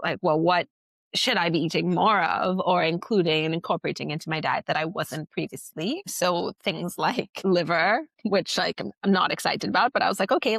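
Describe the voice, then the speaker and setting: A woman's voice: lowish voice, a bit bright, no echo. A woman from Trinidad and Tobago, a podcast guest, talking casually and reflectively, with lots of filler words and restarts. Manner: chatty, many "like" fillers and self-restarts. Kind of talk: casual reflective interview talk.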